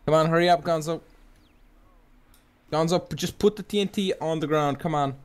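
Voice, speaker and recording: A man in casual gaming banter with friends, good-humoured and quick to swear. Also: on the high side, clean tone. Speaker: co-player.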